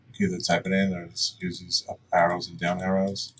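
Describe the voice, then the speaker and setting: A man presenting a casual step-by-step software tutorial in a plain-spoken, instructional way. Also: low-pitched, monotone, brisk. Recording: distant mic, faint background noise.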